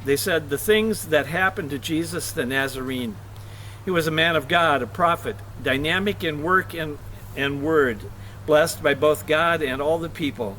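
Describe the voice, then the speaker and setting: A man reading scripture aloud for a church service, expressive, with the odd stumble and self-correction. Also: fairly high pitch, normal speed, a little hiss or hum, poor audio.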